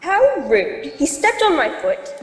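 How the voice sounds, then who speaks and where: A woman's voice: high voice, wildly swooping pitch, slow, echoey room, long reverb tail, exaggerated voice. An actress playing a haughty, easily offended society lady in a radio drama, indignant and snippy.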